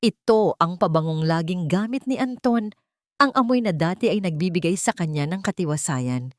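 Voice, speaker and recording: A woman's voice: on the low side, expressive, normal speed, darkish tone, dry recording, studio quality. A woman with a Filipino accent narrating a dramatic scripted story.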